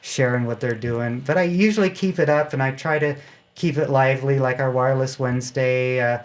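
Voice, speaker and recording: A man giving a casual interview as a radio club member, explaining things in a halting, loosely organized way. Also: medium pitch.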